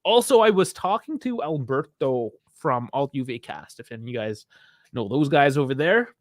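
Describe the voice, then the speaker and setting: A man's voice: mid-range voice, lively intonation, normal speed, dry recording, clean studio sound. A man co-hosting a football podcast in a casual chat, teasing his partner, laughing often and making show announcements.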